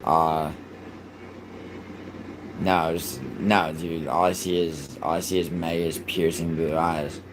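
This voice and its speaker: A man from New York City streaming live, chatting casually and cursing in excitable outbursts between mumbled fillers. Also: extremely low pitch, slow pace, pauses often, background noise. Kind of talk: casual live stream chat, reacting to soccer and reading chat.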